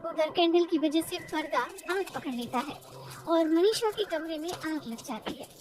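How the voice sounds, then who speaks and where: A woman's voice: extremely high pitch, lively intonation, normal speed, a little mellow. A woman reading a scripted children's moral story as narrator.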